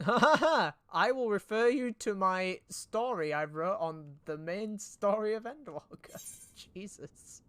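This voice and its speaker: A British man streaming a game, chatty and quick to laugh as he talks to his viewers. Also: high-pitched, fairly bright tone, clean tone, no echo. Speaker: livestreamer. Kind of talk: casual gaming stream chat.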